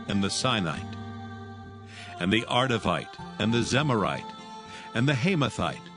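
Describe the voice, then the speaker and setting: Guy reading scripture as narrator, solemn and measured, medium pitch, music playing behind.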